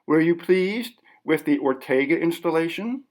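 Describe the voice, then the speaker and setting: A man's voice: fairly high pitch, expressive, moderate pace, darkish tone, voice pushed up, close to an everyday voice. A man voicing a formal, persistent interviewer in an audiobook story, politely but firmly pressing an artist. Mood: measured.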